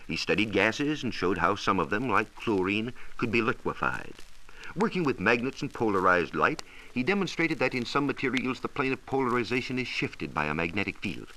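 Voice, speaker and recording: A low-pitched American man speaks in a bright, forceful voice with lively swings of intonation. He delivers scripted educational narration in the manner of a 1940s radio science reporter, phrasing each point emphatically. The recording is an old, hissy broadcast transcription. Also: moderate pace, rough recording.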